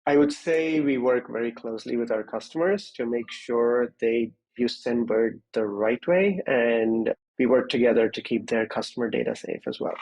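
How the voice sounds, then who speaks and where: A man's voice: mid-range voice, fairly even intonation, normal speed, hardly any pauses, a little mellow, low-quality recording. A man speaking as an interview guest, explaining technical matters conversationally in structured, step-by-step points. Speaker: interview guest, security executive.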